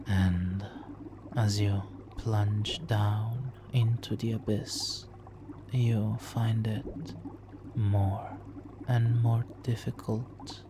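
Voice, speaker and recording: A man playing a smooth-talking supervillain nemesis in an ASMR roleplay, hushed, soothing and coaxing as he hypnotically seduces a captive hero. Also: lowish voice, level tone, background noise. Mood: hypnotic, coaxing. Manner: slow, hushed, soothing, coaxing with soft chuckles. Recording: water trickling behind the voice.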